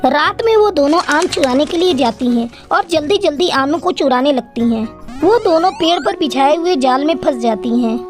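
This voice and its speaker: High-pitched woman narrating a children's story. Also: animated, moderate pace, faint music behind.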